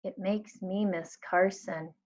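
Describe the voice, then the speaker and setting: A woman plays a subdued young schoolgirl from a storybook. She sounds sad, quiet and hesitant. The recording is a bit dull. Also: medium pitch, steady tone, takes it slowly, natural voice. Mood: sad, tearful.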